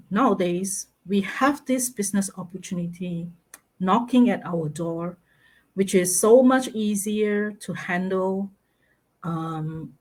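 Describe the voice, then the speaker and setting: A middle-aged woman from Malaysia, a guest on a live interview, speaking slowly and haltingly with many fillers and easy laughs. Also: medium pitch, poor audio. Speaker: guest, online business mentor. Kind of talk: casual interview over a live stream.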